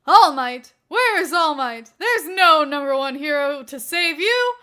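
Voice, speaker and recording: A woman's voice: animated, a little slow, sharp tone, heavily acted. A woman voicing a bombastic skinny man who plays a theatrical, maniacal prank villain in a story reading. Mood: gleeful, maniacal. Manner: theatrical, maniacal, booming delivery. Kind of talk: fan fiction audiobook character voice.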